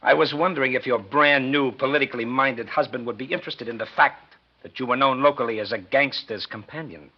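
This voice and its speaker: An actor playing a smug, scheming American blackmailer in a 1940s radio drama, talking in sly, insinuating slang with a husky voice. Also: male voice, medium pitch, fairly quick, few pauses. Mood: menacing, bitter. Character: smug scheming male blackmailer, fired insurance investigator. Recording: muffled 1940s radio recording.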